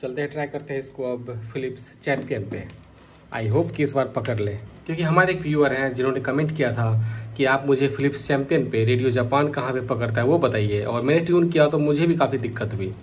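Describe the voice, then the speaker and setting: A man's voice: medium pitch, quick pace, slight background noise. A man casually narrating a hands-on product test as a hobbyist vlogger.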